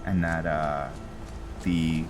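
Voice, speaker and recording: Male game host, deep and unhurried, halting, loud game music underneath. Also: flat delivery. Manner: halting, many fillers and restarts. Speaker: roleplay gaming host. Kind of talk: casual gameplay commentary.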